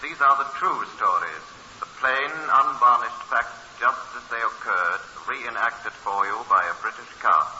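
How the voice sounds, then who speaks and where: A man's voice: medium pitch, unhurried, pushed and bright. A man declaiming a dramatic 1950s radio introduction, emphatic and formal. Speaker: announcer. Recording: reverberant, muffled old radio recording with hiss.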